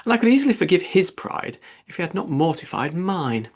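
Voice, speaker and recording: A man voicing a witty, spirited young gentlewoman in an audiobook, with a wry manner. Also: high-pitched, sing-song, fairly quick, pitched above the performer's own voice, exaggerated voice. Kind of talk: audiobook character dialogue.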